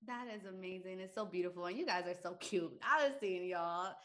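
A woman's voice: on the low side, fairly quick, fairly bright tone, slight background noise. A woman hosting a podcast interview, encouraging and enthusiastic toward her guest. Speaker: podcast host.

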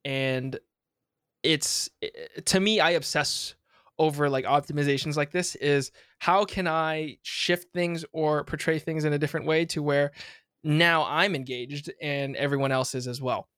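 A man's voice: a little high, fairly even intonation, a little slow, fairly bright tone, smooth, no room sound, studio quality. A young man hosting a podcast, talking casually with lots of fillers and restarts. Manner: rambling, many fillers and restarts. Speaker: podcast host.

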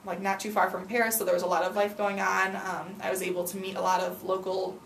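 A woman's voice: mid-range voice, little pitch movement, fast, vocal fry, echoey room, distant mic, a little hiss or hum. A young woman in her twenties, a student teacher, answering interview questions earnestly with frequent ums. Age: 20s.

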